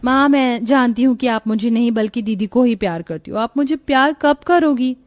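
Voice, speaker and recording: A woman's voice: a little high, normal speed, dry recording, natural voice. A woman voicing a teasing, jealous younger sister, a village girl in a cartoon story. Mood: sulky. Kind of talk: Hindi cartoon dialogue.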